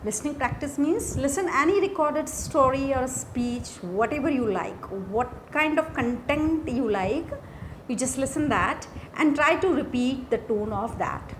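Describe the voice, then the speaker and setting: A woman with an Indian accent teaching a lesson from slides in a deliberate way, repeating key phrases. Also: sits high, expressive, a little hiss or hum. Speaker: teacher, lecturer. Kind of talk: instructional lesson explaining slides.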